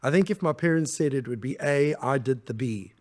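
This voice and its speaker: A middle-aged man from South Africa, a podcast guest talking reflectively in a relaxed interview and laughing easily. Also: medium pitch, fairly quick. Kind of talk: conversational interview.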